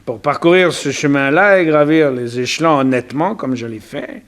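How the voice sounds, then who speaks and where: Actor as hesitant, high-pitched self-made banker. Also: male voice, normal speed, natural voice. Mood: flustered, proud. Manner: hesitant, stammering, repeating words. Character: forty-year-old self-made immigrant banker, awkward, bashful, blunt. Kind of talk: 1960s French radio drama acting.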